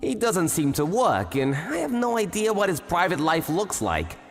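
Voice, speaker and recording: A man's voice: high voice, huge pitch swings, moderate pace, full character voice. A man voicing a curious, easily astonished student from a handyman club, narrating casually and expressively in an anime-style dub. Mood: puzzled, explaining. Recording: voice over background music.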